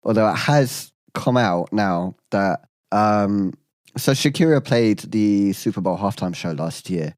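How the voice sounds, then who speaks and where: A man co-hosting a podcast, relaxed and swearing casually as he brings up news items. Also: lowish voice, a little slow, dry recording, clean studio sound. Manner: laid-back, swears, reads news items aloud. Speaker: podcast co-host and editor. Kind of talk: casual banter.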